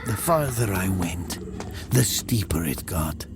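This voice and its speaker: Slow, creaky man narrating horror. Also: low voice, lively intonation, heavy background noise. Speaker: story narrator. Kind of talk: dramatic first-person horror narration.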